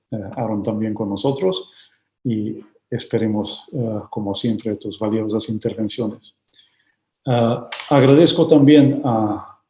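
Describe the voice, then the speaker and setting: Man, book launch host, formal introductions, hesitant with many fillers, unhurried, roomy echoey sound, video call. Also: on the low side, dark tone. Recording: roomy echo.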